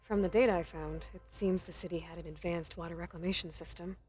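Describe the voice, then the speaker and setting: A woman's voice: fairly low pitch, steady tone, fast talker, a little mellow, close to an everyday voice. A young woman voicing a determined young female hunter in a video game, talking in a direct, inquisitive way. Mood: steady. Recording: game audio with music.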